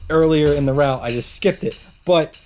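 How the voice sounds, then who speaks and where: Guy doing a let's play, reads story narration out loud, soft mellow voice, faint music behind. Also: a little high, moderate pace. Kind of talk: reading visual novel narration aloud with casual reactions.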